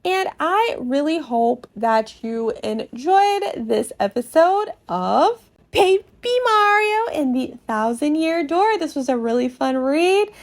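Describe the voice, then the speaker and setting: A woman's voice: high voice, very animated, takes it slowly. A woman hosting and narrating a dramatic read-through of a video game. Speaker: podcast host and narrator.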